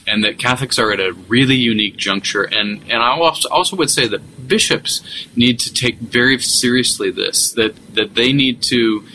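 A man's voice: medium pitch, normal speed, sharp tone, slight background noise, low-quality recording. A man speaking as a guest in a radio interview, with many restarts and fillers. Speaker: guest commentator.

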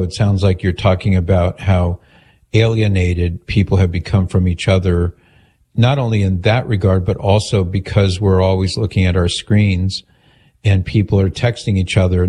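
Measured, low-voiced radio host. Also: male voice, steady tone, dark tone. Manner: measured, reflective, advising. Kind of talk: call-in radio talk.

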